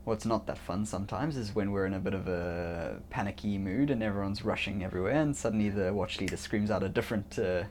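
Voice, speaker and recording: A man's voice: fairly low pitch, fast talker, few pauses, a little mellow. A young man speaking casually as an interview guest, often chuckling as he talks. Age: young adult. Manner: chuckles often while talking. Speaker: interview guest, international student on a sailing ship. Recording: faint background noise and ambience.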